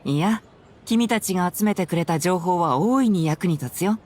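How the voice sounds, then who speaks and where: Fast-talking boyish young detective. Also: male voice, sits high, expressive, darkish tone, slight background noise. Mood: calm, confident. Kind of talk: Japanese anime game voice acting.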